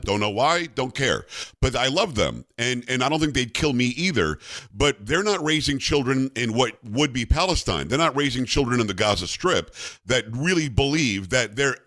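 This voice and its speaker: A man's voice: mid-range voice, a bit bright. A man hosting an interview, asking questions conversationally and rephrasing as he goes. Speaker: host.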